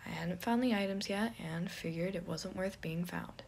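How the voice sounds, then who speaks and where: A woman's voice: moderate pace, vocal fry, a little mellow, a little hiss or hum. Woman as a weary, sardonic young man. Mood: defensive. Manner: mumbling, dry, low-key. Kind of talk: fan fiction audio story character.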